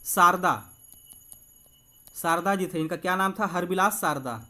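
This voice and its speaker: A man's voice: sits high, unhurried, pushed and bright. A man works through exam quiz questions as a coach. He explains in an instructive way, talking to his viewers familiarly, like friends. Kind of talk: quiz walkthrough lesson with explanations.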